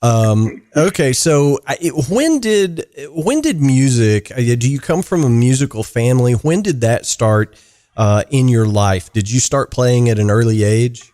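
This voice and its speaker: A man's voice: medium pitch, animated, unhurried, mellow. Laid-back man hosting a podcast. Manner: easygoing, lots of fillers and restarts. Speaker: podcast host. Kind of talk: casual interview chat.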